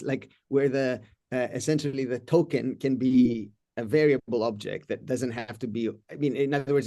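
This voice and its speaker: A man moderating an academic discussion, thinking aloud in a tentative, halting way. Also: medium pitch, a little mellow. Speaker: seminar moderator.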